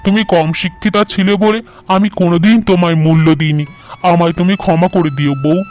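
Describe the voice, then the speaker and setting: A humble village farmer from a cartoon fairy tale, played by a man, pleads with earnest remorse. His male voice sits fairly high, with clear music in the background. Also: fairly even intonation, brisk, a little mellow, lightly acted. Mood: remorseful.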